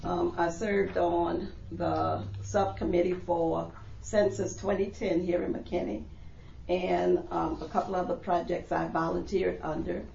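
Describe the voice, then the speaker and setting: A middle-aged woman from New Orleans introducing herself at a public meeting, earnest and measured. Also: lowish voice, fairly even intonation, gravelly, darkish tone, slight background noise. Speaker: commission applicant. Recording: echoey room, dull lo-fi sound.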